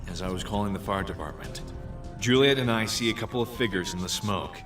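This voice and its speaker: A man playing a wary, low-key detective inspector in an audio crime drama, partly narrating his investigation. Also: fairly quick, over music, close to an everyday voice. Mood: pensive narration.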